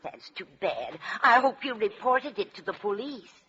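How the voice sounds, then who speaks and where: A woman's voice: a little high, big pitch swings, normal speed, pushed and bright. An actress playing a kindly, scatterbrained older landlady who fusses and coaxes, in old radio sitcom style. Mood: chatty, dotty. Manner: fussy, coaxing, absent-minded. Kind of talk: 1940s radio sitcom acting. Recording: muffled, noisy vintage radio recording.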